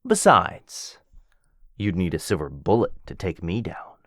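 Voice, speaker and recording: A man's voice: low-pitched, huge pitch swings, measured pace, a bit bright, dry recording, studio quality, exaggerated voice. Otto, a man voicing a cheesy flirty werewolf. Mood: playful.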